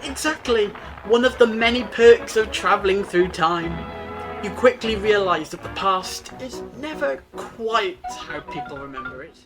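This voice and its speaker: An audio drama time traveller, played by a man with a high voice. He is wise and earnest, explaining history like a storyteller with real enthusiasm. Music plays clearly underneath. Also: lively intonation, unhurried. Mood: enthusiastic.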